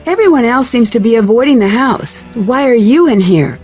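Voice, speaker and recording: An actress playing a polite, inquisitive young woman detective in a video game, calm and direct. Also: fairly high pitch, normal speed, husky, soft background music. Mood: probing. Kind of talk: video game character dialogue.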